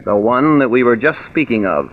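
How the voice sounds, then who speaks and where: A man playing a shrewd, controlled prison warden with a measured, clipped manner in an old-time radio crime drama. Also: mid-range voice, lively intonation, close to an everyday voice. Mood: commanding. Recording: muffled vintage radio recording.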